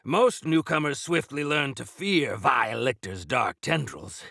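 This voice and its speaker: An actor playing a proud, stalwart older leader of mountain folk in a video game, with a rough gravelly voice and theatrical, old-fashioned formal phrasing. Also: male voice, medium pitch, a little slow, sharp tone, no echo, clean studio sound, heavily acted. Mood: grave, urgent briefing. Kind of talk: video game character acting.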